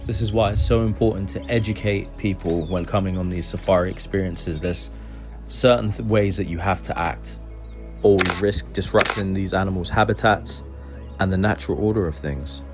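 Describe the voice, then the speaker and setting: A man with a low voice tells the story of a safari in an earnest, reflective voice-over. Soft music plays beneath him. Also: normal speed. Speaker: wildlife photographer and vlogger. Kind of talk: reflective travel narration.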